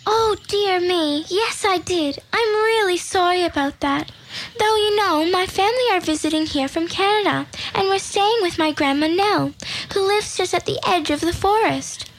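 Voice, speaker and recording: Woman doing a high polite little girl. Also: normal speed, slight background noise, rough recording. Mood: apologetic. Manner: polite, apologetic. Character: polite young girl visitor.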